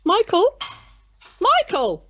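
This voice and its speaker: A woman playing a high, swooping, bustling organiser. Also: wildly swooping pitch, drawn-out words, highs cut off, heavily acted. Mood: chivvying. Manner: exclamatory, chivvying. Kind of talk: audio drama acting.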